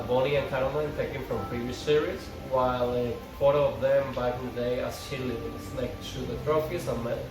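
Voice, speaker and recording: A man reading stage directions aloud as narrator at an informal script table read. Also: medium pitch. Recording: echoey, distant mic, clear background noise.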